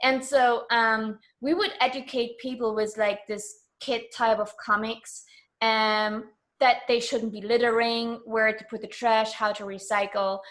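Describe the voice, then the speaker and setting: Higher-voiced woman from Germany, chatting. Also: flat delivery, unhurried, fairly bright tone. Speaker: interview guest. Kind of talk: casual conversational interview.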